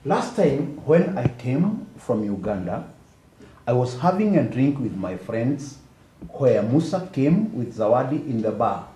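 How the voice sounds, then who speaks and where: An actor playing a defensive middle-aged accused man testifying in a TV courtroom drama, halting and deliberate, with a Kenyan accent. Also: mid-range voice, sing-song, warm tone. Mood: defensive, earnest. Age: 50s. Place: indoor courtroom set. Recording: echoey room, distant mic, faint noise.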